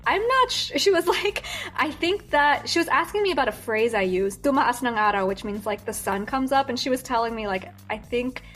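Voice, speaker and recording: A woman's voice: on the high side, expressive, fairly quick, fairly bright tone, faint music behind. A young American woman chatting as a guest singer in an interview, talking with lots of fillers, restarts and giggles. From United States. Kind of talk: casual interview chat.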